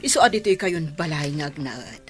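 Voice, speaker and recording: A woman playing an elderly mother welcoming her son's wife, in Filipino audio drama style with deliberate, emotive delivery. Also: deep voice, lively intonation, music in the background. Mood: fond, approving.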